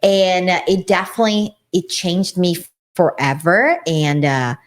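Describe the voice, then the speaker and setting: Earnest Brazilian-accented woman, low-pitched. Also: lively intonation, a little slow. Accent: Brazilian Portuguese. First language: Portuguese. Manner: earnest and emphatic, swinging high in pitch. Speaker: co-host. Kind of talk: casual conversation.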